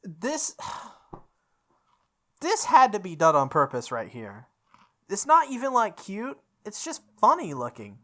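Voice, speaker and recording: A woman playing through a visual novel, reading its text aloud with expressive, goofy casual commentary, sometimes correcting her stumbles. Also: mid-range voice, slow, long pauses. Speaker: host of a visual novel playthrough.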